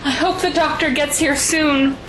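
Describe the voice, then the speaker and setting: A woman's voice: sits high, fairly even intonation, normal speed, over music, lightly acted, poor audio. A woman acting in an audio drama as an anguished, guilt-ridden mother, emotional and pleading. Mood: anxious.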